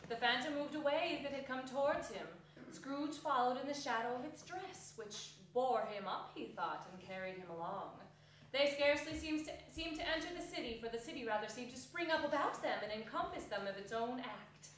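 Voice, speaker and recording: A woman narrating a story in a theatrical dramatic reading, measured and solemn. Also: sits high, lively intonation, a bit bright. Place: theatre hall stage reading. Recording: echoey hall, distant mic, some noise.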